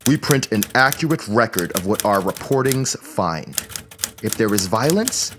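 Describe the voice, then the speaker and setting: A man acting as a measured, deliberate chronicler of newspaper history in an audio play. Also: fairly low pitch, lively intonation, noisy background. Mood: measured, formal.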